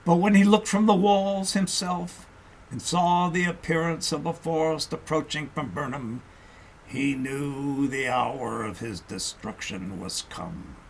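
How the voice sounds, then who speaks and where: A man narrating a historical tale as an audiobook reader, in an expressive storytelling style. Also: on the high side, unhurried, creaky, slight background noise, low-quality recording.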